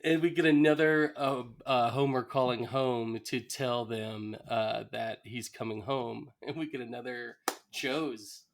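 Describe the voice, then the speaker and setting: A man's voice: mid-range voice, fairly even intonation, unhurried. A man co-hosting a podcast, playfully chatting about a TV episode, chiming in with laughs and acted-out quotes. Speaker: podcast co-host.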